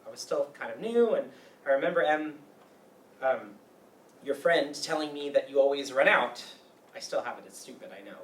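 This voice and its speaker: A man's voice: medium pitch, expressive, moderate pace, fairly bright tone, natural voice. Male, actor as bitter, self-deprecating grudge-holder in a comedy-drama reading. Distant, roomy, faint noise. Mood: wistful, confessional. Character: bitter, neurotic grown man nursing an old grudge. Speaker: stage actor. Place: meeting room used for a staged reading.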